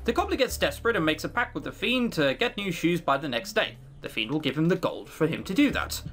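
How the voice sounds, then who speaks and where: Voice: medium pitch, animated, fast talker, fairly bright tone, soft background music, natural voice. A game commentator voicing an offhand poor boy who tells a folk tale in blunt, slangy words. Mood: offhand storytelling.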